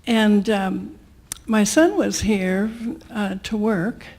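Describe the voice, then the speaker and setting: Slow, gravelly-voiced older woman. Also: mid-range voice, fairly even intonation, a little mellow. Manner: slow, halting with uhs and little laughs. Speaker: congregation member. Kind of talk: sharing at a church service.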